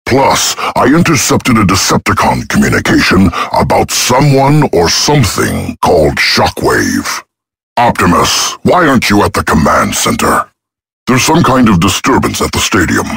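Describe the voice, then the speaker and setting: A man's voice: lowish voice, gravelly, fairly bright tone, breathy edge, dry recording, exaggerated voice. A man voicing a weary, watchful robot comrade in a video game, wary and cautious in manner.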